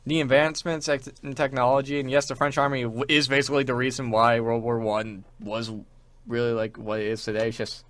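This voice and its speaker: A man's voice: medium pitch, little pitch movement, brisk, fairly bright tone. A man hosting a show with casual, rambling chat about games and internet culture, acting out imagined quotes as he goes. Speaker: show host. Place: small radio studio.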